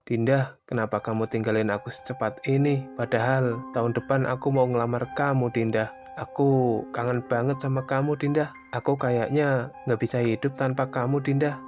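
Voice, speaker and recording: A man voicing a polite, soft-spoken young man in grief in an animated drama. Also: medium pitch, moderate pace, faint music behind, close to an everyday voice. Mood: grieving, despairing. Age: young adult. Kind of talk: animated cartoon drama dialogue.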